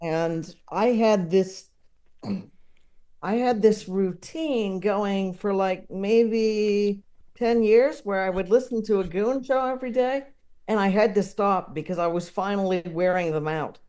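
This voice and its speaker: Slow-talking, halting podcast guest, mellow voice. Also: medium pitch, clean tone. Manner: halting, thoughtful, repeats words while searching for phrasing. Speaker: podcast guest, comedy enthusiast. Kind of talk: casual reflective interview talk.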